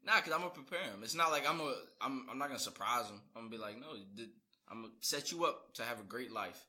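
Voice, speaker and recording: An eighteen-year-old podcast host chatting with a friend, talking fast and jumping from thought to thought. His voice is bright and sharp, and his excitable, jokey manner keeps the conversation loose. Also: medium pitch. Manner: excitable, jokey, quick to laugh. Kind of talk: casual chat.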